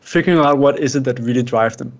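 Danish male guest, native Danish, mid pitch, earnest coaching tone, muffled recording. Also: fast talker. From Denmark. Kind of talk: interview answers. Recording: dull lo-fi sound.

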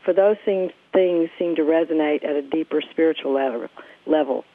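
A woman's voice: sits low, moderate pace, dry recording. A woman interviews in an earnest, effusive manner, choosing reflective and literary phrases. The sound is narrow and muffled like a phone line, yet very dry, with no room around her. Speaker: talk show host and interviewer. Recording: muffled phone-grade radio audio.

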